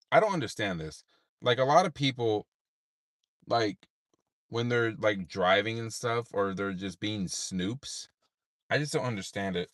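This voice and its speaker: A tired man with a deep voice, rambling in a laid-back way with many fillers while livestreaming from his parked car at night. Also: fairly bright tone, dry recording, studio quality. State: tired, unable to sleep. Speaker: streamer. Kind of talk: late-night livestream chat.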